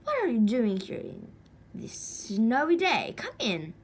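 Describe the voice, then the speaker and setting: A woman voicing a kindly, brisk storybook aunt who exclaims and fusses with drawn-out emphasis. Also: on the high side, huge pitch swings, husky, exaggerated voice. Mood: surprised, welcoming.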